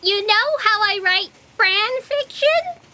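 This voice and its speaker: A woman's voice: very high-pitched, expressive, slow pace, sharp tone, full character voice. A woman playing an anxious, guilt-ridden young intern in a comic audio drama, earnest, plaintive and melodramatic. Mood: confessional.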